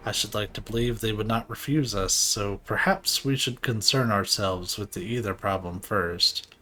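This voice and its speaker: A man reading game dialogue aloud for a formal, archaic-speaking scholar ally, in a plain, fairly flat way. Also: fairly low pitch.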